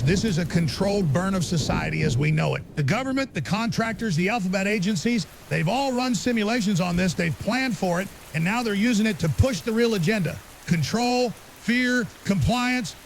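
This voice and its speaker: A man's voice: sits high, vocal fry. A man playing a grim, urgent conspiracy theorist as a guest on an apocalypse podcast drama, emphatic and ominous in clipped, certain statements. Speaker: interview guest. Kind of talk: fictional apocalypse podcast interview. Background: rain and faint hiss.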